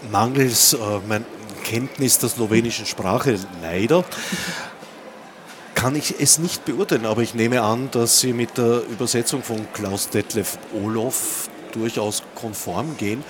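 With clear ambient noise around him, a man asks questions as a literary interviewer. His voice has an average male pitch, and he phrases each question formally and with care. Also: a little slow. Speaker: interviewer, radio editor.

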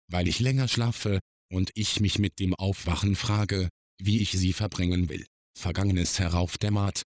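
A man performing a reflective middle-aged man's literary radio-play monologue, philosophical and measured. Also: low voice, a little hiss or hum. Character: reflective middle-aged man musing on his own life.

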